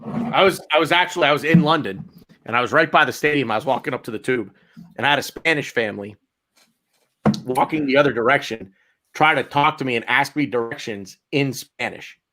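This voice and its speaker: Bright-voiced man telling an anecdote. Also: medium pitch, a little fast. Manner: anecdotal, repeats words as he starts. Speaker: podcast co-host. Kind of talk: casual chat.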